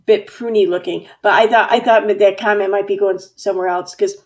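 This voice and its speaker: A woman hosting a casual livestream chat, talkative and quick to laugh, reading out viewer comments. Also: mid-range voice, fairly even intonation. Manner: chatty, laughs often, reads out viewer comments. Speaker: host.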